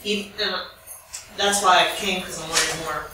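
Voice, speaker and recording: An American woman in the audience asking a long, spontaneous question in a halting, deliberate way. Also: lowish voice, fairly even intonation. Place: echoey lecture room. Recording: very echoey room, distant mic, faint noise.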